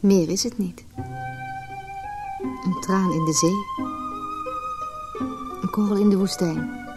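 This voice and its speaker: A woman's voice: mid-range voice, steady tone, slow pace, music in the background. An actress playing a vulnerable, self-questioning woman nearing forty in a reflective radio drama monologue. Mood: pained, reflective. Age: late 30s.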